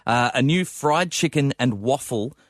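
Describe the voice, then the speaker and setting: A man's voice: mid-range voice, a little slow, dry recording, studio quality. A man co-hosting a radio show, reading out listener tweets in a casual, amused way. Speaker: radio co-host.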